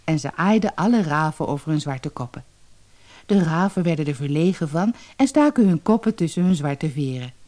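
A woman narrating a children's fairy tale as a storyteller, reading in an expressive, dramatized way. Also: low voice, moderate pace.

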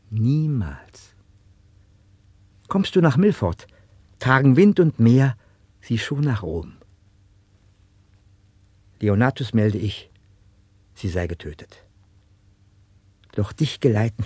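Slow, dark, husky loyal manservant. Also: male voice, lowish voice, no room sound, heavily acted. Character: loyal male servant secretly defying a wicked lord. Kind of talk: classical radio drama acting.

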